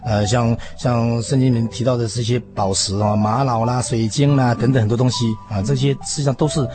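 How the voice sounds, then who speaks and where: A man with a Taiwanese Mandarin accent explains things conversationally on the radio, haltingly, repeating phrases and trailing off with particles. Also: mid-range voice, fairly even intonation. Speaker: interview guest commentator. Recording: music behind the voice. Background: background music.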